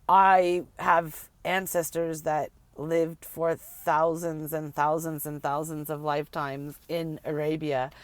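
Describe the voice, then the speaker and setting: Middle-aged woman, rambling emphatic vlogger; smooth tone, dry close sound. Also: low-pitched, no room sound. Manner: rambling, emphatic, repeats words for effect. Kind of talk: casual rant to camera.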